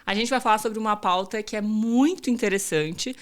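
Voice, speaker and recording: A woman hosts a casual podcast interview. Her mid-pitched voice sounds upbeat and chatty as she warmly draws out her guest. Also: normal speed. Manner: upbeat, chatty, uses informal "tu". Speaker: podcast host.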